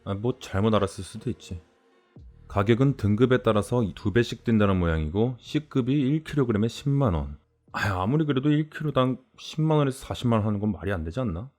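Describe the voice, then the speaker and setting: A man narrating a horror story in a dramatized first-person style, speaking deliberately and addressing the listener directly. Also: fairly low pitch, lively intonation, darkish tone, soft background music.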